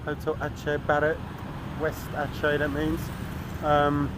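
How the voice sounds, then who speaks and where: A man's voice: a little high, completely flat delivery, measured pace. A man talking casually as a travel vlogger, rambling with asides and the odd swear word, outdoors on a city street. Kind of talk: casual vlog narration. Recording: street traffic noise. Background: traffic and street noise.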